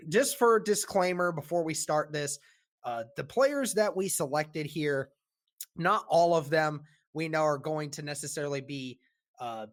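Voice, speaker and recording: A man co-hosting a casual sports podcast, talking with a sore, strained throat and a relaxed, rambling delivery full of fillers. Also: a little high. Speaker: podcast co-host.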